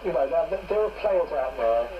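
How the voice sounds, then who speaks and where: A man's voice: a little high, expressive, moderate pace. Dull, noisy radio broadcast, man presenting sport. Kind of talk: live radio sports discussion. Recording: muffled, noisy old radio speaker.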